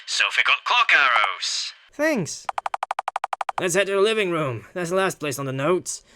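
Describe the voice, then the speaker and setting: A gaming video host reading a visual novel aloud in an animated way and reacting to it. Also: mid-range voice, a little slow, heavy background noise. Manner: animated, voices the characters while reading.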